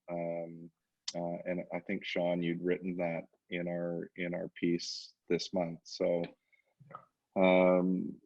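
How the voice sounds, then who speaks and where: Low-voiced man, slightly muffled line. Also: darkish tone, dry recording. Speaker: portfolio manager. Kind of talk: conference call market commentary. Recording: slightly dull conference line.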